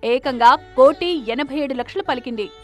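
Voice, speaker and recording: A woman's voice: high voice, moderate pace. A woman reading scripted news narration as a reporter. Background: background music.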